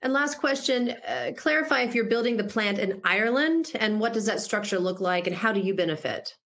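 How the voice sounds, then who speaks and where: A woman's voice: on the high side, animated, a little fast, vocal fry, a bit bright. Polite woman moderating an investor conference. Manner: polite, reads questions out. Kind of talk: reading audience questions.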